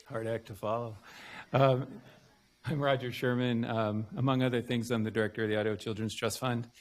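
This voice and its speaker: A man's voice: mid-range voice, steady tone, brisk. A man speaking to a gathering at a public reading as one of the volunteer readers. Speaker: nonprofit director, volunteer reader.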